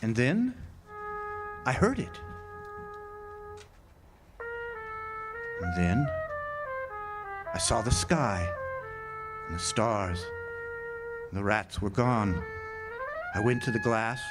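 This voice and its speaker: A man's voice: fairly low pitch, huge pitch swings, full character voice. Haunted lighthouse keeper, acted by a man, over music. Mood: tense, fearful. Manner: halting, repeating words, dramatic pauses. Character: haunted, nervous male lighthouse keeper recounting a horror. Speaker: radio drama actor. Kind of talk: old-time radio drama monologue. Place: live amphitheater stage performance.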